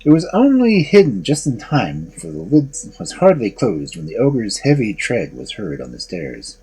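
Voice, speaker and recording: Very husky, animated man telling fairy tales. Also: lowish voice, normal speed, poor audio. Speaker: storyteller host. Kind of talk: expressive fairy-tale narration.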